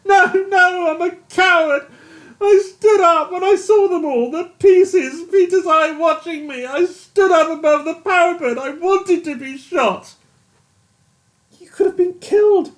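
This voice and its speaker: A narrator voicing a young British army captain who is shattered and sobbing, in a high, wailing, breaking voice. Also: very animated, a little fast, few pauses. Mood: distraught. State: crying.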